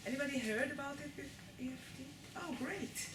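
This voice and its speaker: A woman's voice: high-pitched, moderate pace, noisy background. Israeli woman lecturing in an echoey room. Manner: checks in with the audience often. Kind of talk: live lecture to an audience. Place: lecture hall with live audience.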